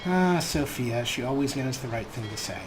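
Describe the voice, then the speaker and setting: A man's voice: medium pitch, expressive, normal speed, slight background noise, low-quality recording. A man hosting a let's play, narrating with dry, dramatic storytelling and talking about his character in the third person. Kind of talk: wry narrated gameplay commentary.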